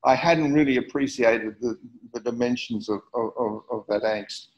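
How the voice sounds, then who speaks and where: A man's voice: mid-range voice, normal speed. A middle-aged man from Australia giving a community history talk over slides, hesitant, with many fillers and repeated words. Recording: dull lo-fi recording.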